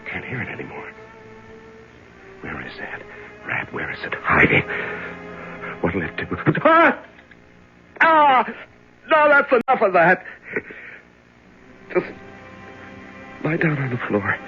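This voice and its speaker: An actor in an old-time radio drama playing a frightened, desperate prisoner in solitary darkness, muttering to himself in halting, repetitive bursts. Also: male voice, a little high, huge pitch swings, sharp tone, faint music behind, full character voice. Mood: panicked, raging, then resigned, drained. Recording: dull old radio recording.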